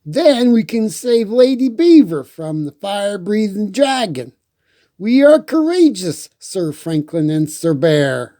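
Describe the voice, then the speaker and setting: A man's voice: sits high, big pitch swings, takes it slowly, dark tone, no room sound, studio quality, voice pushed up, full character voice. A man voicing an earnest, slightly stubborn young boy turtle in a storybook. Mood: excited, brave.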